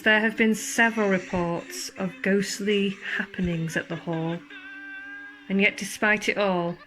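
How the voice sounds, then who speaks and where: A woman narrates a ghost story in a theatrical, suspenseful manner, with deliberate pauses and formal, old-fashioned wording. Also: medium pitch, a bit bright, a little hiss or hum, low-quality recording. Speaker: ghost walk guide and storyteller. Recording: clear background ambience under the voice. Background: spooky horror ambience sounds.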